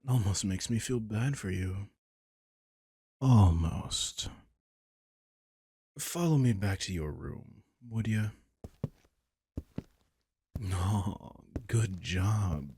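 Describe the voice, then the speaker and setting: A man playing a possessive, sardonic vampire king in an intimate roleplay audio drama, teasing and condescending with a husky, breathy edge. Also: on the low side, lively intonation, extremely slow, long pauses, dark tone, dry recording, studio quality, heavily acted. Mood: smug. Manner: teasing, condescending, casually profane.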